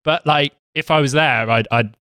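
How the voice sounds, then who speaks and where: A man from Derby, England, with a softened Derby accent, chatting as a podcast guest and telling stories casually, with the odd swear word. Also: on the high side, moderate pace, clean tone, dry recording, studio quality. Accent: softened Derby English accent. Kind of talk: casual chat.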